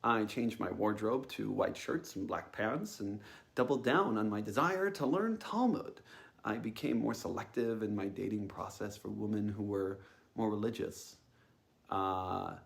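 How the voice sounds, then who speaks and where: Young man, creaky, halting storyteller. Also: on the low side, lively intonation. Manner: hesitant, halting delivery with frequent uh and um. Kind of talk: informal first-person storytelling talk.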